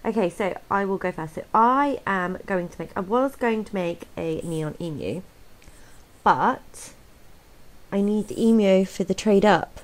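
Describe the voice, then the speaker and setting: A young woman co-hosting a gameplay video, chatting in a bubbly, excitable way. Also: mid-range voice, unhurried. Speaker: co-host of a gaming channel. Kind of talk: casual gameplay chat.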